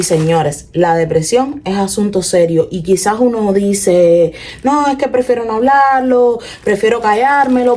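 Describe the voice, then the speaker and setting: A young woman with a Cuban accent talks earnestly to her viewers in a personal confessional vlog, often slowing and trailing off as she reflects. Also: medium pitch, dark tone. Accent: Cuban Spanish. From Cuba. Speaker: vlogger. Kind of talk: personal confessional talk to camera.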